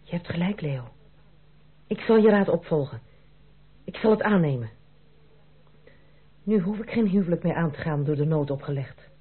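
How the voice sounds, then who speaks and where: Husky, proud young noblewoman, old radio play. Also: fairly low pitch, animated, normal speed, long pauses. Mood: earnest, composed. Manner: earnest, formal, dramatic diction. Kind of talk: Dutch radio play acting. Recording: muffled, narrow-band old radio recording.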